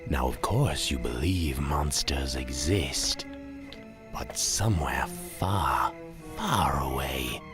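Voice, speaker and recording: A man narrating a Halloween radio drama in a slow, ominous, theatrical storytelling manner, with a breathy, hushed delivery. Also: a bass voice, animated. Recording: music under the voice. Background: music.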